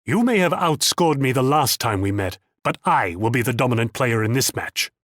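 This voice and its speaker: A man's voice: mid-range voice, big pitch swings, moderate pace, fairly bright tone, dry recording, clean studio sound. A man voicing a proud, condescending, wealthy Dutch military commander in a video game, with a theatrical and patronizing manner. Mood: smug. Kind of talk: video game character voice lines.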